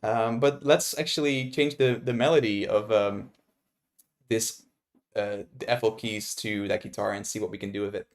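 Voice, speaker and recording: A young man from the Netherlands, talking casually on a live stream while he makes music at his computer, rambling with fillers and restarts and sudden excited outbursts. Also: lowish voice, moderate pace.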